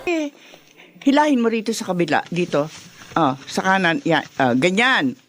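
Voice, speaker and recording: A lively, boastful Filipino mother. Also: lowish voice, normal speed, loud music behind the voice. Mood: proud, chatty. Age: middle-aged. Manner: exclaiming, teasing, chatty. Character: bubbly, doting, boastful mother of a grown son. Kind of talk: Filipino radio drama acting.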